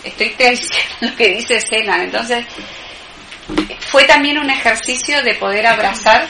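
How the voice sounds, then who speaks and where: A woman's voice: on the high side, a little slow, vocal fry. A woman with an Argentine accent, a poet talking thoughtfully about her work as an interview guest, often searching for words. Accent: Argentine Spanish. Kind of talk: reflective conversation. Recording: echoey room, background noise.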